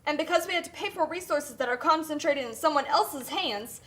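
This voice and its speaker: A woman's voice: sits high, a little fast, a bit bright. A woman playing a struggling, outspoken Nicaraguan working mother in a scripted puppet show. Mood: indignant.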